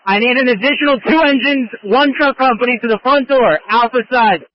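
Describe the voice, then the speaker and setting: A man's voice: extremely high pitch, fairly quick. A man speaking as a fire chief over a two-way radio, giving urgent, clipped orders from a fire scene. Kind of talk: urgent fireground radio traffic. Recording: muffled lo-fi emergency scanner radio.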